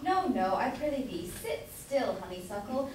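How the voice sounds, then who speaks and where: A blustering but doting husband in an amateur play, performed by a young woman. The voice sits fairly high. It sounds distant, with strong echo and a little background noise. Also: big pitch swings, moderate pace, darkish tone. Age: young adult. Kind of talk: amateur staged play reading. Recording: echoey hall from far off, noisy.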